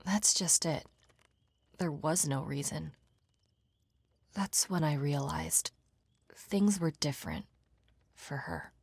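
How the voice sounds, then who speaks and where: A blunt, guarded young pianist from a mystery game. Her voice sits very low and crackles with fry, slightly husky. She speaks in a deadpan, matter-of-fact way. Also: takes it slowly, long pauses, no echo, full character voice. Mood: wistful, flat. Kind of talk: video game voice acting. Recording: music behind the voice.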